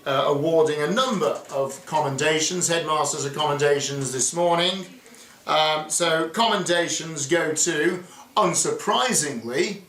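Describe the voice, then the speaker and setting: Male headmaster, fairly high-pitched, congratulatory and formal, heard at a distance from the mic. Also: fairly bright tone, reverberant. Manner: effusive, congratulatory. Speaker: headmaster presenting a school assembly. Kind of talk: formal school assembly address.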